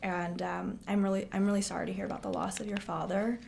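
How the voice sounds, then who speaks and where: A woman's voice: medium pitch, little pitch movement, fast talker, creaky. Affirming woman host, faint background hiss. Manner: warm, affirming, many mm-hmms. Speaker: podcast host. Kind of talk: casual interview conversation.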